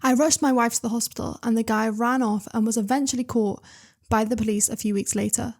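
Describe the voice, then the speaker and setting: Young woman reading stories out loud, kinda high voice, chatty, keeps butting in with her own comments. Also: fairly quick. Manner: chatty, excitable, interrupts herself with asides. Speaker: reader and channel host.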